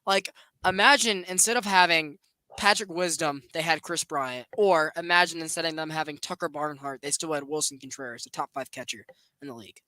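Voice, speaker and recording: A young man hosting a podcast, chatting casually about baseball in an animated, rambling way. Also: a little high, a little fast, no room sound. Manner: animated, rambling, laughs often. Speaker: podcast host. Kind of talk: casual sports chat.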